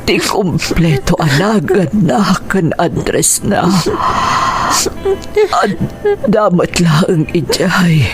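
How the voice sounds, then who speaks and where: A woman playing a dying mother in an Ilocano radio drama, crying and weak as she confesses a secret in halting, gasping phrases, with an Ilocano Filipino accent. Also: on the low side, faint music behind. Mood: weak confession.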